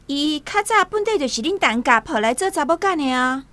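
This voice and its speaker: An actress with a Taiwanese accent playing a spoiled, spiteful young concubine in a radio drama. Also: female voice, high-pitched, lively intonation, brisk, a bit bright, no echo. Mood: scornful, bossy.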